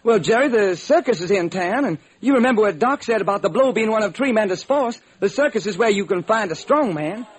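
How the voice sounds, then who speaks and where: A man's voice: high voice, animated, fairly quick, few pauses, slight background noise, low-quality recording. A man playing an eager, plodding police lieutenant in an old-time radio crime drama. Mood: musing, suggesting.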